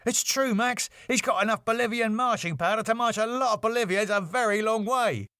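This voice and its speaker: A man's voice: sits high, a little fast, bright, forceful tone, smooth, no echo, voice pushed up. Guy doing a sarky sitcom sidekick bloke, teasing, voice lifted up, dry close sound. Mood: cheeky. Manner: sarcastic, teasing. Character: cheeky, sarcastic male sidekick in sitcom.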